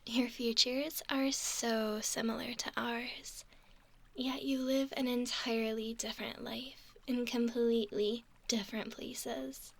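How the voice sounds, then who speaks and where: Woman doing a flirty mischievous mermaid, asmr, highish whispery voice, crackly, takes her time. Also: flat delivery, takes it slowly, fairly bright tone, exaggerated voice. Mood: fascinated, teasing. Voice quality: breathy, often whispery.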